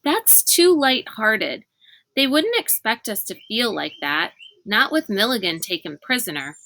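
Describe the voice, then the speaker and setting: A woman voicing a thoughtful young boy leader in a children's storybook, reasoning with a strained calm. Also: fairly high pitch, measured pace, heavily acted. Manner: reasoning, placating. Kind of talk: children's storybook read-aloud.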